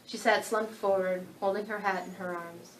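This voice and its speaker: A woman's voice: medium pitch, steady tone, moderate pace. Woman narrating fiction in a roomy space. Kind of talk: scripted literary narration. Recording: roomy sound with faint background noise.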